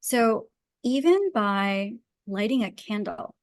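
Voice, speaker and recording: A woman's voice: mid-range voice, lively intonation, slow, dry recording, clean studio sound. A woman speaking as a guest expert in an interview, explaining her ideas conversationally in an earnest, emphatic way. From Pakistan. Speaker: guest wellness and design expert.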